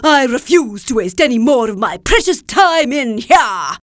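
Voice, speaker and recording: A woman's voice: fairly high pitch, big pitch swings, measured pace, no room sound, clean studio sound. A woman voicing a bullying headmistress who roars scornful insults. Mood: furious. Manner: roaring, scornful. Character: bullying, bellowing female headmistress. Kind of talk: audiobook reading.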